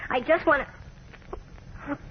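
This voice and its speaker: A man's voice: unhurried, lightly acted. An actor playing an anxious, exasperated teenage boy sidekick in 1940s radio style, slangy and earnest. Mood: scared, glum. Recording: muffled, noisy 1940s radio recording.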